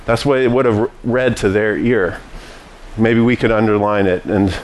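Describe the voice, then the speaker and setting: A man's voice: lowish voice, fairly even intonation, normal speed, a little mellow, slight background noise, poor audio. A man preaching a sermon as pastor, teaching in a conversational way with frequent restarts.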